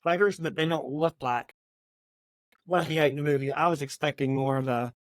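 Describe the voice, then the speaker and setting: Guy cohosting a book podcast, kinda high voice, stumbly and repeats words, super dry sound. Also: steady tone, no room sound. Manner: halting, hesitant delivery with repeated words. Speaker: podcast co-host. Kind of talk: casual book discussion chat.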